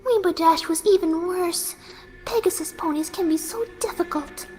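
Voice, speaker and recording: Super high girly pony voice, gentle n sweet but totally unhinged, horror story, music under it. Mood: calmly menacing. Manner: gentle, sweet, unhurried while menacing. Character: soft-spoken, serenely deranged young female pegasus pony. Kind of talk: horror fan fiction reading. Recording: music behind the voice.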